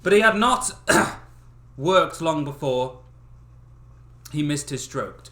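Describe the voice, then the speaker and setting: A young man reading stories aloud on a livestream in a casual, expressive way, swearing and breaking off with joking asides. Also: a little high, takes it slowly. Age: young adult. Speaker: livestream host reading fairy tales aloud. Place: small bedroom. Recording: roomy echo of a small room.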